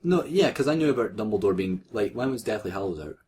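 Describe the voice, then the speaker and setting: A man's voice: lowish voice, expressive, quick pace, a little mellow, slight background noise. A man reading a story aloud as co-host in a casual, mocking way, often breaking off to laugh, swear and comment.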